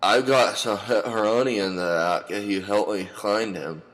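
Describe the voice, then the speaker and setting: Halting, forceful wounded hermit played by a man. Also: lowish voice, fairly even intonation, big-room sound, natural voice. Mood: pained. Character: hurt, odd hermit craving his lost puppet. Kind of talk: audio comedy drama acting.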